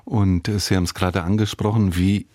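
A man's voice: low voice, fairly even intonation, fairly quick, darkish tone, no echo, studio quality. A man hosting a radio interview, putting questions in thoughtful, slightly hesitant phrases.

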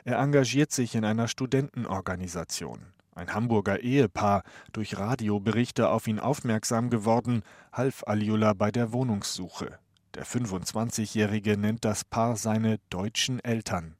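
Low-voiced man reading a news report. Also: darkish tone, dry recording, studio quality. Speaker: radio reporter. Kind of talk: scripted news narration.

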